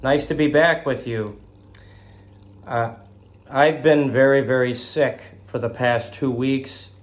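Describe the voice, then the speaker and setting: A man in his thirties from Southern California, hosting a faith broadcast while recovering from illness. He talks slowly and haltingly, with fillers and a slight cough. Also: medium pitch, fairly even intonation. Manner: slow, halting, with frequent fillers and throat clearing. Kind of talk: casual spoken tribute.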